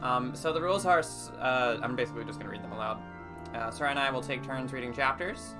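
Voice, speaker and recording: A man's voice: medium pitch, fast talker, over music. A young man hosting a livestream with casual banter and a wry, joking, mock-grandiose manner. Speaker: livestream host.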